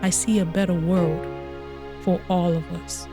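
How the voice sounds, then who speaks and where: A woman giving a slow, gentle poem recitation. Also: on the low side, level tone, music in the background.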